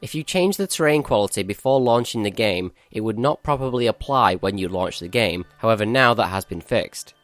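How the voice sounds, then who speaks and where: A man's voice: lowish voice, animated, fairly quick, hardly any pauses, fairly bright tone, no echo, soft background music. A male gaming channel host giving a matter-of-fact, explanatory walkthrough of a game update.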